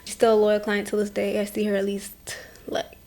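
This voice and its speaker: A woman's voice: medium pitch, completely flat delivery, moderate pace, darkish tone. A young woman from Portland, Maine, a makeup artist talking casually as an interview guest, with many fillers. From Portland, Maine, USA. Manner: chatty, many fillers, quotes others.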